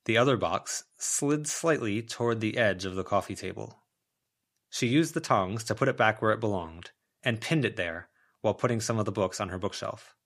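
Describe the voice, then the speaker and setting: A man's voice: lowish voice, normal speed, a bit bright. A man reading a story aloud as a scripted audiobook narration.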